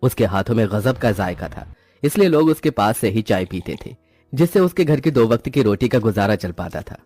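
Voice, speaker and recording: Male storyteller, low register, scripted moral-tale narration, dry sound over light music. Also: expressive, moderate pace, darkish tone, no echo.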